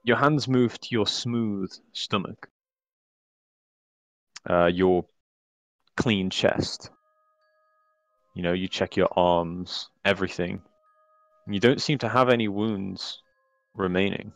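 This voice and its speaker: A man narrating a tabletop horror game as game master, in a relaxed and wry way with many fillers. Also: deep voice, slow pace, pauses often, dry recording.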